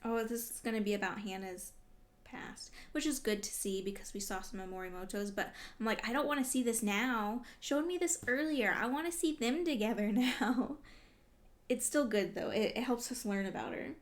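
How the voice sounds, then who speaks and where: A woman with a fairly high voice gives casual, off-the-cuff commentary as she reads. She is gushing and exclamatory, clearly invested in the characters she reacts to. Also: normal speed. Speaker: manga read-along vlogger.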